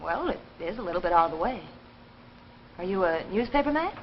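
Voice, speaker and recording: A woman's voice: medium pitch, expressive, brisk, close to an everyday voice. An actress playing a friendly, teasing young American landlady in a 1950s TV drama, chatty and wry. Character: friendly, teasing young woman running a small-town boarding house. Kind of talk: 1950s television drama acting. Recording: muffled 1950s broadcast with faint noise.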